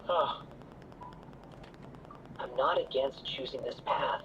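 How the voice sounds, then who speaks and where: A man's voice: medium pitch, takes it slowly, distant mic, muffled recording, lightly acted. Man as a pensive young adventurer, noisy capture. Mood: pensive, hesitant.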